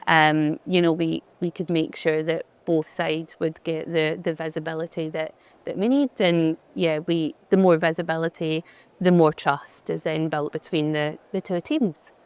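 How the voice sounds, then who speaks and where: Glaswegian woman with a low, smooth voice. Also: steady tone, measured pace. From Glasgow, Scotland. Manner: chatty, frequent restarts and fillers. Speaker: head of digital experience, conference guest. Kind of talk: fireside chat on stage.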